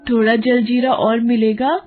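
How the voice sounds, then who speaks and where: A woman's voice: on the high side, little pitch movement, unhurried, close to an everyday voice. A woman voicing a cheerful young village girl, speaking eagerly. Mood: happy. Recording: dull lo-fi audio, faint music.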